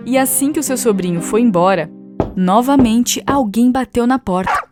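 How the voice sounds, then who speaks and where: A woman narrates a children's story in a moderately high voice. Her scripted telling runs over clearly audible music. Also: moderate pace, a little mellow.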